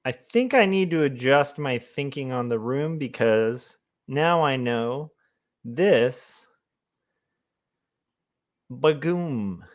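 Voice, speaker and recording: A man with a loud voice chatting casually to viewers on a livestream while he draws. He rambles, with lots of fillers, and talks into a mic placed close to him. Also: medium pitch, animated, slow, pauses often. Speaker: comic artist streamer. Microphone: desk mic close to the mouth.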